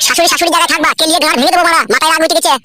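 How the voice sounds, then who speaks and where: A man's voice: very high-pitched, steady tone, rapid-fire, very noisy. A man voicing a hot-headed, crude young husband in a comic cartoon, argumentative but protective of his partner. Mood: irritated, defiant.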